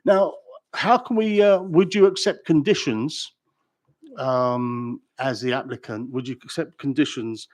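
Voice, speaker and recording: A man with a British accent, a councillor at a planning meeting, asking long questions with many pauses, fillers and restarts. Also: medium pitch, darkish tone. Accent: British English.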